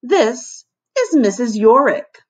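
Woman reading as a calm, deadpan male schoolteacher. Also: fairly high pitch, voice pushed up, exaggerated voice. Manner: measured, politely deadpan. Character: calm, courteous, eccentric adult male schoolteacher.

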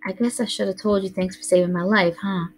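A quiet, earnest young boy in an audiobook, speaking softly and a little hesitantly, as a woman narrator reads him. The voice stays near the reader's own. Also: mid-range voice, steady tone, fairly quick, slight background noise, close to an everyday voice.

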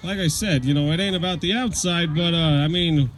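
Man voicing an awkward, hesitant chimp puppet buddy, highish-voiced. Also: natural voice. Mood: gently reassuring. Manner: hesitant, halting, full of uhs. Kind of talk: live puppet show dialogue. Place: outdoors on a lawn, behind puppet stage. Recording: noisy outdoor live recording.

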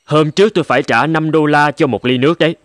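A man's voice: a little high, quick pace, no room sound, studio quality, natural voice. A man playing a weary, grumbling gold prospector in cartoon dialogue. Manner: complaining.

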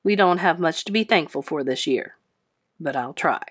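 A woman's voice: low voice, animated, normal speed, slightly rough, voice pushed down. A woman voicing a stern, weary schoolteacher in a storybook, complaining in a terse, strained way.

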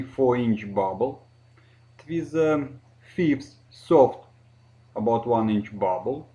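A man with a Russian accent, a native Russian speaker, narrating a step-by-step tutorial slowly and carefully, with frequent hesitations. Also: mid-range voice, mellow, muffled recording. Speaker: balloon twisting instructor.

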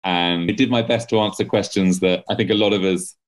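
A man's voice: low voice, steady tone, brisk. A man in his thirties, a journalist guest, talking thoughtfully with frequent restarts over a video call from home. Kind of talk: casual interview conversation. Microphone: laptop webcam on video call.

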